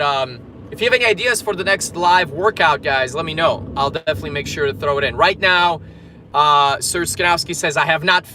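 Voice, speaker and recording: Polish-born male fitness coach, chatty and upbeat, bright voice, livestreaming from a car, faint traffic noise. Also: fairly high pitch. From Poland. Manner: chatty, upbeat, reads viewer comments aloud. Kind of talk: casual livestream chat while driving.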